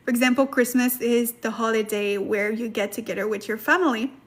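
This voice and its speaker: High-voiced woman hosting a chatty live lesson. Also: brisk. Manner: chatty, laughs often, many fillers. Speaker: Korean language teacher, livestream host. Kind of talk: casual livestream lesson, reading chat comments.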